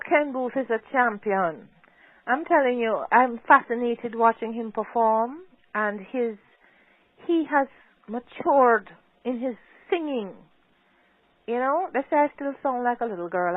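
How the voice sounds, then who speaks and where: A woman's voice: fairly high pitch, no echo. An older woman, a talkative singer who laughs often, chatting as a guest in a phone interview. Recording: tinny dry phone line.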